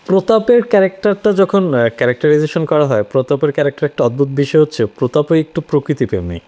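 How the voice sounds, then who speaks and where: Male panel guest, slightly high voice, explanatory, question-and-answer way of making points. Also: animated, quick pace, dark tone. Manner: explanatory, poses questions then answers them. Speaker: voice actor on a discussion panel. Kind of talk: conversational literary discussion.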